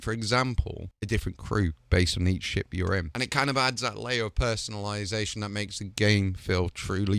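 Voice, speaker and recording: A man's voice: lowish voice, fairly bright tone, clean tone, no room sound. A man hosting a showcase, talking in a chatty, enthusiastic, casual way. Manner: chatty and enthusiastic, with filler like "kinda". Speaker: host showcasing game voice packs.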